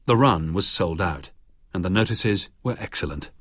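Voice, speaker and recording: Husky low-voiced male narrator. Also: dry recording, studio quality. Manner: measured, even reading. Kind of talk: scripted documentary narration.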